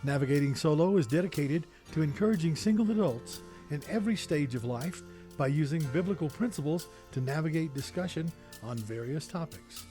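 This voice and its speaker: A man reading a scripted podcast introduction in a smooth, formal manner. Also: a little high, expressive, fairly quick, warm tone. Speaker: podcast announcer. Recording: voice over faint music. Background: faint instrumental music.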